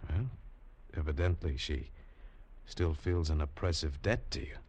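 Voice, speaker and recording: A man's voice: a bass voice, measured pace, a little mellow, husky. A man as hero and narrator of a 1950s radio adventure drama, telling the story in an earnest, urgent way.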